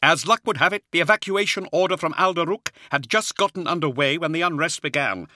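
A man doing a strained, high army colonel. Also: brisk, no echo, clean studio sound. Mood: urgent. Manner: loud and strained. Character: urgent male soldier colonel, wiry, shouting.

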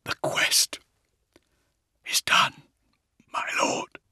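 A man voicing a dying, loyal warrior-mystic in an audiobook, weak and breathy, barely above a whisper. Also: very slow, sharp tone, no room sound, clean studio sound, exaggerated voice. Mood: weak, relieved.

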